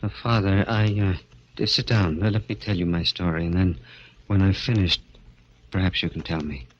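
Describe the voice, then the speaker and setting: An actor playing a bitter, anguished vaudeville little person in a 1940s radio drama, intense and halting. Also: male voice, deep voice, steady tone, highs cut off, slight background noise, natural voice. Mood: bitter, brooding.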